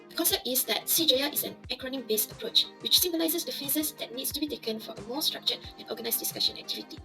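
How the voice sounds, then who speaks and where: Teacher, woman, fast and bright scripted pitch over soft music. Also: creaky. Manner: brisk, formal and upbeat. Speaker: English teacher. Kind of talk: scripted project presentation.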